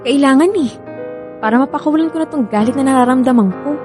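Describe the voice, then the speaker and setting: A woman's voice: high voice, quick pace, music in the background, close to an everyday voice. An actress playing a remorseful young woman in tears with a Filipino accent in a radio drama. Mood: remorseful, confessing. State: crying. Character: tearful, remorseful young woman begging an ex back.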